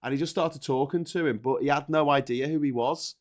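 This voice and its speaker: A man's voice: on the high side, a little fast. A middle-aged man from Liverpool with a Liverpool accent, chatting casually with wry jokes and anecdotes. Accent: Liverpool English. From Liverpool, England. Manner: joking, wry, sweary. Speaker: panelist on a live online chat show. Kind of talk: casual political banter and anecdotes.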